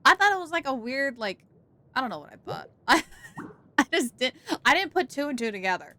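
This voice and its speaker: A woman from the United States, streaming a game and chatting casually, full of "like" and quick asides. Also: high voice, sing-song, bright, forceful tone, a little hiss or hum. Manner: chatty, with many "like"s. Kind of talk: casual chat while playing.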